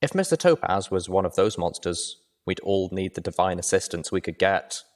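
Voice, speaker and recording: A man's voice: sits low, expressive, normal speed. A man reading scripted adventure fiction as the narrator.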